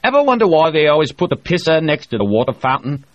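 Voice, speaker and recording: A man doing a loud, cursing, boisterous man. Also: on the high side, big pitch swings, quick pace, no echo, muffled recording, pitched above the performer's own voice. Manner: boisterous, swearing freely. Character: loud profane male miner-type storyteller.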